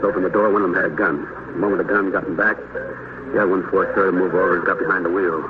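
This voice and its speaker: A man's voice: low-pitched, fairly even intonation, fast talker, close to an everyday voice. An American man acting as a dutiful, plain-spoken police officer making clipped, deferential reports in a 1950s radio drama. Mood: dutiful, steady. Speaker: actor playing police officers. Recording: tinny, noisy old radio recording.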